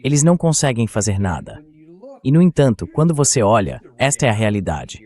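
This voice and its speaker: A man's voice: fairly low pitch, sing-song, fairly quick, darkish tone. Man hosting political talk, synthetic dub. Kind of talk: dubbed translation of political commentary.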